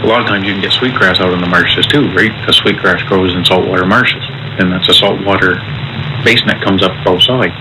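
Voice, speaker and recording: A man's voice: low voice, fairly even intonation, a little fast. A man from eastern Canada explaining local things in an informal, conversational way. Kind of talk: casual explanatory chat. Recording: noisy ambient location sound.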